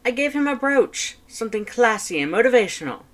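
A woman's voice: fairly high pitch, very animated, fairly quick, voice pushed up. A woman voicing a proud, competitive male skeleton in a fan fiction audiobook, speaking emphatically and formally with theatrical flair. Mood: flustered, outraged.

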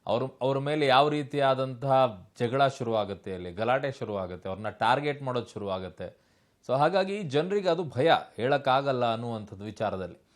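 A man with a Kannada accent from Karnataka anchoring live news in an emphatic, questioning way. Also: on the high side.

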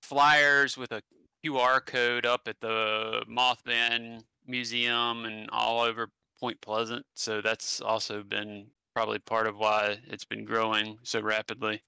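West virginia guy on a headset call, really bright crisp voice, dry sound, lots of ums and restarts. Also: fairly low pitch, little pitch movement, no room sound, poor audio. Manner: halting, many fillers and restarts. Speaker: guest, paranormal channel creator. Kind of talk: casual conversational interview. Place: home room, indoors. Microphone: headset mic on a video call.

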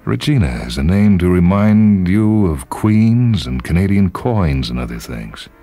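A composed, courtly conjured man acted out by a male storyteller in a radio tale. He is formal and politely probing, pitched above the narrator's deep register, over faint music. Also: sing-song, measured pace, warm tone, no room sound, full character voice.

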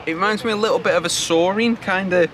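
A man's voice: sits high, fast, a crowd in the background. A man in his thirties from Britain, a travel vlogger chatting casually outdoors. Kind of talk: casual vlog chat. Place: outdoors in a city park. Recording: noisy outdoor location sound.

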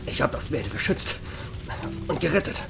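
An actor playing a badly hurt, weak but wry fighter in an audio drama, with a faint, halting, croaky delivery. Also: male voice, on the high side, very animated, over music, exaggerated voice. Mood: faint, anxious.

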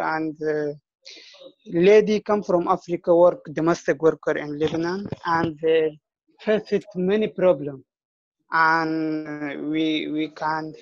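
A man's voice: fairly high pitch, a little mellow, no room sound. Young man, broken English, dry muffled call line. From Sudan. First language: Arabic. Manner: halting broken English, searching for words. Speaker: audience member asking a question. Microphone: video call.

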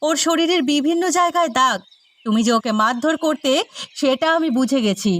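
A woman's voice: sits high, normal speed, a bit bright. A woman voicing an anguished, tender village mother with pleading, emotional delivery in a melodramatic moral-story cartoon. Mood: accusing.

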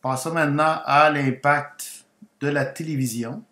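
A man from Guatemala who moved to Quebec as a child, a native Spanish speaker, tells his personal story as a language teacher in deliberate, separate phrases. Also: a little high, fairly even intonation, bright, forceful tone. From Guatemala, moved to Quebec, Canada aged ten. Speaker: language teacher and channel host. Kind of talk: personal story told as a slow educational narration. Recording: roomy, echoing voice recording.

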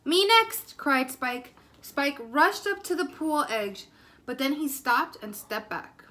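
A woman's voice: high-pitched, lively intonation, takes it slowly, pushed and bright. A woman in her twenties reading a children's story aloud with expression. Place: room at home, indoors.

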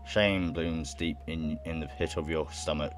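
A young man with a very deep voice reads game text aloud at a slow pace. He stumbles a little as he goes and drops in casual remarks, with music playing underneath. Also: fairly even intonation. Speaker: gamer playing and reading a visual novel aloud. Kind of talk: let's play, reading game text aloud with casual reactions. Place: indoor room at home.

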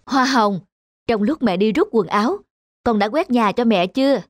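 A woman playing a gentle, hardworking mother in a cartoon fairy tale. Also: a little high, lively intonation, normal speed, close to an everyday voice. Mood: gentle request.

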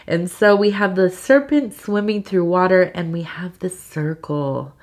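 A woman with a congested cold giving a soft-spoken, meandering tea leaf reading, with frequent fillers. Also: on the low side. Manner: slow, meandering, with many fillers and soft laughs. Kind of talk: soft-spoken ASMR tarot-style reading.

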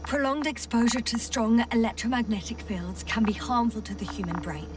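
An actress playing a thoughtful, worried woman scientist exploring space, speaking in a hushed, measured, earnest way in a video game. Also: fairly high pitch, recorded from a distance, background noise, natural voice. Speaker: crew scientist. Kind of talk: dramatic game voice acting.

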